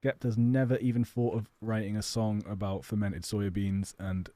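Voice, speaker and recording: Mellow British man casting a game. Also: on the low side, little pitch movement, normal speed, no room sound. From England. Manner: rambling, chatty, hesitant. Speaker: esports caster and stream host. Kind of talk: casual live game commentary. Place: home or personal studio.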